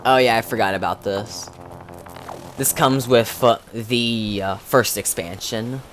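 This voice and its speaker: A man's voice: medium pitch, steady tone, measured pace, a bit bright, faint music behind. A young man streaming a game, rambling casually with many restarts and fillers. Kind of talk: casual livestream commentary.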